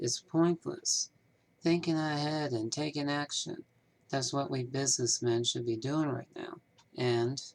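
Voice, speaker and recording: A man voicing a polite, businesslike 1930s small-town banker from a mystery game, with measured, formal phrasing. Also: mid-range voice, vocal fry, low-quality recording. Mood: firm, upbeat. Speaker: amateur voice actor. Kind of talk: scripted game character dialogue.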